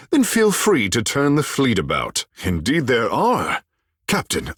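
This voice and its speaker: Picture a flamboyant, charming young demigod of the sea islands, vain and courtly in a fantasy video game. His phrasing is lofty and theatrical, rising and falling widely. The voice has a slightly husky, breathy grain and sits very close and dry. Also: lowish voice, a bit bright, no room sound, full character voice. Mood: playful, courtly. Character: flamboyant, vain, charming godlike islander man, water shaper. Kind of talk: video game character dialogue.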